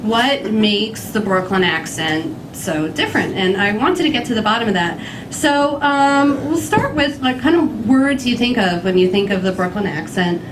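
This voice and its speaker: A woman from Staten Island giving a live stage presentation, talking in a chatty, halting way with many fillers. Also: mid-range voice, animated. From Staten Island, New York. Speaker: presenter, documentary director. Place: stage in a venue with a live audience. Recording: distant mic, audience noise behind.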